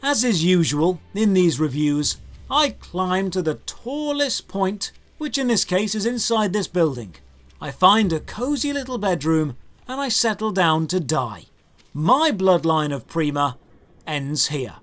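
A man's voice: high voice, very animated, unhurried, a bit bright. A man in his thirties narrating a scripted game review as host, with an emphatic, exasperated, rhetorical delivery. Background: background music.

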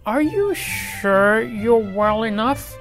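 A man voicing a prim, dull, gullible male principal in a storybook, fussy and drawn-out. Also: high-pitched, very animated, a bit bright, soft background music, voice pushed up, full character voice. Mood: fussy, surprised.

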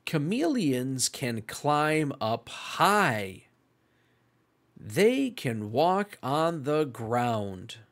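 A read-along host reading aloud for young children in a deliberate, exclamatory and animated way. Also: medium pitch.

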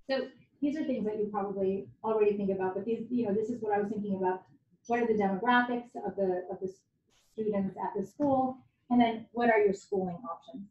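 A woman in her forties, a native Japanese speaker, giving a candid, conversational guest lecture at a university. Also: a little high. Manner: candid, conversational, self-deprecating. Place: lecture hall. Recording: echoey hall, dull lo-fi sound.

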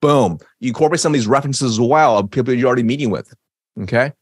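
Man in his 30s, sales trainer on a video call; rapid, emphatic delivery with an occasional stutter, close studio mic, dry sound. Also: mid-range voice, dry recording. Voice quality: stutters at times. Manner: energetic, emphatic, gestures while talking. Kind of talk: webinar teaching. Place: home office with bookshelves. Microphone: studio mic on boom arm, video call.

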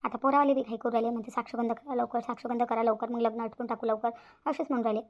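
A woman's voice: a little high, fairly even intonation, rapid-fire, close to an everyday voice. A woman voicing a worried, stubborn village mother in a Marathi cartoon, plaintive and repetitive, with a Vidarbha Marathi accent.

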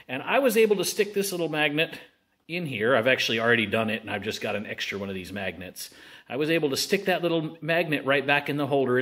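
A man's voice: mid-range voice, fairly bright tone. A man at a home table filming a casual how-to on his phone, talking in a folksy, rambling way with jokey asides. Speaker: hobbyist showing a laptop repair. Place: home dining room at a table.

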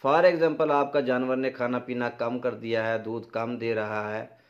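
A middle-aged Pakistani-accented man speaks informally as an instructor giving practical farming advice. His voice sits at an average pitch. The recording is somewhat dull and lo-fi. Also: level tone, measured pace. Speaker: dairy farming advice presenter. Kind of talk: informal instructional explanation to camera. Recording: dull, lo-fi sound.

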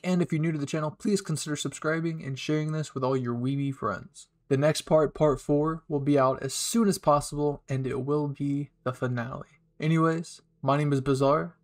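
A man hosting a roleplay channel, giving a casual outro to viewers. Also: on the high side.

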